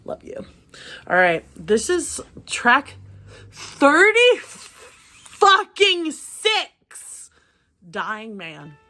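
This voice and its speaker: Woman from texas, unhurried, bright tense voice, blunt, swears, laughs a lot. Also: high voice, huge pitch swings. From rural Texas. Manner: blunt, profane, laughs often. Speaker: music review co-host. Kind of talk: casual chat reviewing an album.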